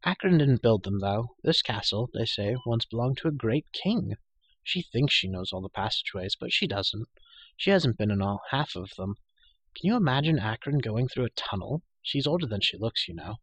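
A man's voice: mid-range voice, big pitch swings, moderate pace, fairly bright tone, husky, no echo, heavily acted, poor audio. A man voicing a chatty, bossy young girl of royal birth in a storybook, prattling matter-of-factly and scolding. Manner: prattling, matter-of-fact, indignant. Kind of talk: storybook character voice.

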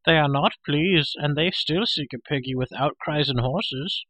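A man's voice: a little high, unhurried, fairly bright tone, no room sound, voice pushed up, poor audio. A man voicing a hairy, half-animal storybook creature who wails, pleads and fawns hungrily. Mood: boastful, pleading. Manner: wailing, pleading, fawning, speaks of himself in third person. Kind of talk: storybook character voice.

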